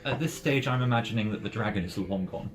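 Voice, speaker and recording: A man's voice: fairly low pitch, fast, heavy background noise. A man chatting casually as a player in an in-person tabletop game. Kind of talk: casual chat. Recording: loud music behind the voice.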